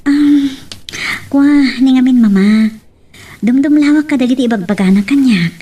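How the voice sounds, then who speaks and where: A gentle young sweetheart, acted by a woman in radio-drama style, high-pitched and Ilocano-accented. She sounds a little away from the microphone. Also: normal speed, breathy edge, recorded from a distance. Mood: coy.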